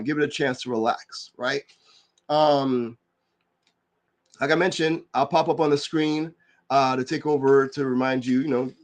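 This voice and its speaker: A man who is getting over a cold and sounds a little nasal, hosting a live online work session and explaining its features in a relaxed, rambling way full of fillers. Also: a little high. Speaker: livestream host and developer advocate. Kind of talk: casual explanatory livestream talk.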